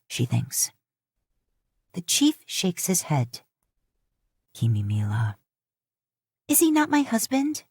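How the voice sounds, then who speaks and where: A woman reading a fanfiction audiobook in a steady, measured storytelling manner. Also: fairly low pitch, no echo, studio quality. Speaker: audiobook narrator.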